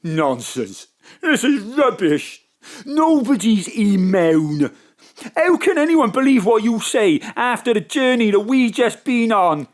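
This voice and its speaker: Blustering villain, slow and defiant. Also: male voice, sits high, big pitch swings, exaggerated voice. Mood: disbelieving. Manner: scornful, colloquial, blustering. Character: guilty, defiant Welsh murderer, cornered and blustering.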